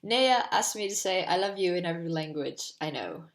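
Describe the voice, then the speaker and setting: A woman's voice: lowish voice, animated, normal speed, reverberant. A 19-year-old woman from Portugal with a Portuguese accent, chatting casually and rambling to camera from her bedroom, full of "like". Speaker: vlogger.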